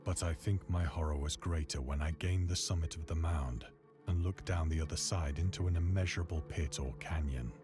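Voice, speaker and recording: A haunted, awestruck castaway played by a man recounts gothic horror in a low, grave voice. His diction is formal and measured, and heavy music plays behind him. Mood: grave dread. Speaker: narrator. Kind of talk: dramatic gothic horror narration. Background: prominent music.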